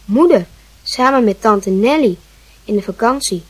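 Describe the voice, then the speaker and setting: An actress playing an earnest schoolboy with artistic ambitions in a radio play. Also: female voice, fairly high pitch, animated, a little slow, rough recording. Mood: earnest, hopeful. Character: earnest schoolboy Anton, eager to draw nudes.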